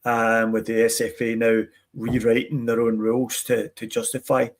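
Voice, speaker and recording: Guy, flat steady voice, calm measured football talk. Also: mid-range voice. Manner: measured, argues his point calmly. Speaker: podcast panelist. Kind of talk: casual football chat.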